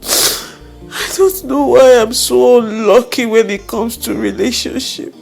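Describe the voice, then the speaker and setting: A woman's voice: fairly high pitch, steady tone, creaky, darkish tone, soft background music. A woman, acting a heartbroken young woman whose boyfriend cheated on her, in a comedy skit. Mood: despairing. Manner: slow, mournful, self-pitying.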